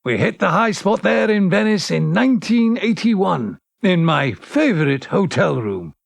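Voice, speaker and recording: An older lover narrating an audio drama, brooding and bitter. The voice is fairly high but gravelly, dryly recorded, and moves with dramatic swings as he looks back wistfully. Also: big pitch swings, measured pace, no room sound, full character voice. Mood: nostalgic. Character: older Alec, bitter, wistful man looking back on lost loves.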